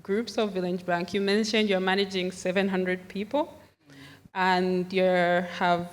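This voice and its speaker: A woman from Zambia speaking as a panelist, in a thoughtful, measured way. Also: fairly low pitch, flat delivery. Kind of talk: conference panel talk. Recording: long hall reverb.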